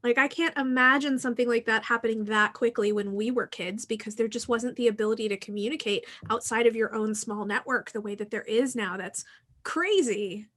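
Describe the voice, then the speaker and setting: A woman's voice: fairly high pitch, a little fast, a bit bright. A middle-aged woman co-hosting a casual podcast chat. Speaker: podcast co-host.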